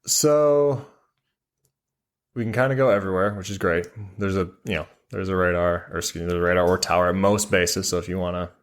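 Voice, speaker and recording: A man's voice: deep voice, steady tone, a little fast. A man being interviewed, explaining his work in an informal, conversational way with short, loosely hedged sentences. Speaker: interview guest, military air traffic controller. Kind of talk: casual explanatory interview chat.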